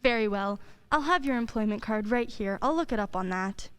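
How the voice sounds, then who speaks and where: A woman's voice: fairly high pitch, lively intonation, fairly quick, a bit bright, clear, resonant tone, no room sound. A woman playing a sensible, guarded working mother in a radio play, with an earnest, proper and firm manner. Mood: flustered, insistent.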